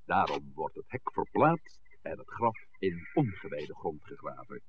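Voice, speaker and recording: A man acting the part of a courteous, scheming village priest in a 1960s radio play, speaking in a measured, formal way. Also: rumbling low, big pitch swings, exaggerated voice. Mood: calm, courteous. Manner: measured, formal, genteel speech. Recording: muffled, faintly noisy 1960s radio recording.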